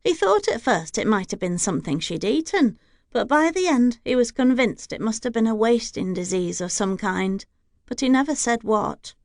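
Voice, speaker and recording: A devoted, old-fashioned housekeeper speaks formally and deferentially, her voice pitched a little higher than the storyteller's own, as a woman narrator puts her on. The sound is dry and close. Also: lively intonation, normal speed, no room sound. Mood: earnest. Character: older female housekeeper, devoted, stiff with outsiders.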